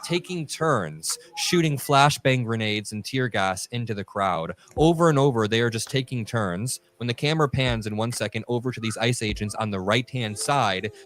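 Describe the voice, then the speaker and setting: A man's voice: mid-range voice, animated, moderate pace, hardly any pauses, a bit bright. A man giving an online news commentary, indignant and emphatic as he narrates clips. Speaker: political commentator.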